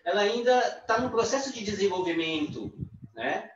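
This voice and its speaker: A man with a Brazilian accent voicing a signed talk, halting, with restarts and tag questions. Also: sits high, animated. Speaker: sign language interpreter. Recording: distant echoey lo-fi livestream audio.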